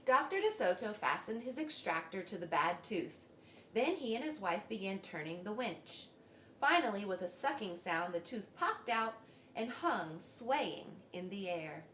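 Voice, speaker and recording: A woman's voice: medium pitch, sing-song, measured pace, a bit bright, slight background noise. A woman reading a children's story aloud expressively, as a school librarian does. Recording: echoey room.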